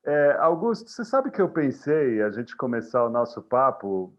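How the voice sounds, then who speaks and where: A man's voice: mid-range voice, very animated, moderate pace, muffled recording. A man with a Brazilian Portuguese accent hosting an interview, asking rambling questions full of restarts. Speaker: interview host. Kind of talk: casual interview talk.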